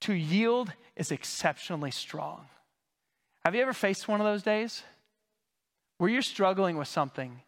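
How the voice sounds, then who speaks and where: A man's voice: high-pitched, animated, normal speed, long pauses, fairly bright tone. A male pastor preaching a teaching sermon in a church, explaining Greek words in a conversational way while he keeps coughing. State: coughing, recovering from lost voice. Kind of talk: expository sermon. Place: church sanctuary. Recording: long hall reverb.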